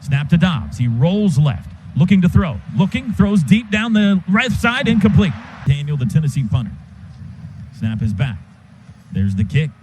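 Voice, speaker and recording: An American man giving live radio play-by-play of a football game in clipped phrases with excited calls. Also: a little high, moderate pace, slight background noise.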